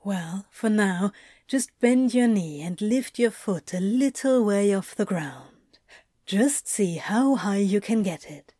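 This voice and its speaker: A woman voicing an easygoing, teasing male yoga teacher in audio fiction, gentle, reassuring and playful. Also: medium pitch, lively intonation, takes it slowly, dry recording, studio quality. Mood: patient, instructing. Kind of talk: audio fiction character acting.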